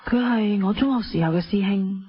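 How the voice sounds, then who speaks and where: A woman's voice: medium pitch, a little fast, lightly acted. An actress with a Hong Kong accent playing a spoiled, playful young wife in her twenties, telling her story in a radio drama. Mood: breezy, candid. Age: young adult. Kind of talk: radio drama acting, first-person narration. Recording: dull lo-fi audio with faint noise.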